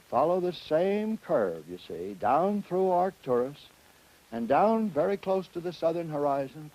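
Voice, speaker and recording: Guy with a slightly high voice giving an instructional lecture, careful teacherly phrasing, stresses key points. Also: a little mellow, poor audio. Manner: deliberate, emphatic, didactic phrasing. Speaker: educational film presenter and astronomy teacher.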